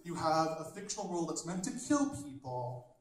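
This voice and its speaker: A young man doing a stand-up comedy set on a small stage with a handheld mic, telling dark jokes bluntly and chatting with the crowd between jokes. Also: a little high, very animated, moderate pace, a little hiss or hum. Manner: dark, blunt humor with conversational asides. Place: large wood-panelled hall with a small stage. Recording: very echoey hall from a distant camera.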